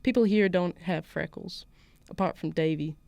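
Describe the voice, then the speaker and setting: A woman's voice: fairly low pitch, moderate pace, gravelly, a little mellow, dry recording. Woman voicing a defiant Southern schoolgirl, close and dry. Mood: wry, defiant. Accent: Southern US, put on. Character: defiant schoolgirl narrator from a small Southern town. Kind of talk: first-person literary fiction read aloud.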